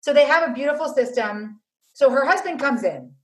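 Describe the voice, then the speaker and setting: A woman's voice: expressive, brisk, low-quality recording. Earnest woman laying out numbered advice. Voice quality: low-voiced by her own account. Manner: earnest, lays out numbered steps, tells anecdotes. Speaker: author and family mediator. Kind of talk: conversational guest explaining advice.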